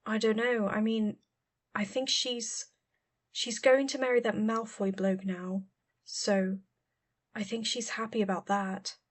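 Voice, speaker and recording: A woman's voice: mid-range voice, fairly even intonation, unhurried, natural voice. Woman reading as teenage boy, guarded, casual, hesitant, awkward, story dialogue. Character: guarded, awkward teenage schoolboy, offhand about feelings.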